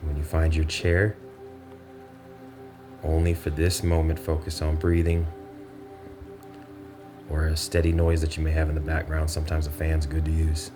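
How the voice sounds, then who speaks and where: Very deep-voiced man guiding meditation. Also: completely flat delivery, moderate pace, pauses often, over music. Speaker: meditation guide. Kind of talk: guided meditation.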